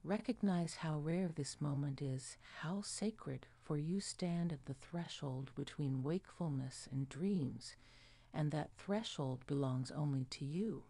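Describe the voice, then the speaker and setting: A woman narrating a guided sleep hypnosis in a soft, soothing, hushed manner. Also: low voice, gravelly, no echo. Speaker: meditation guide.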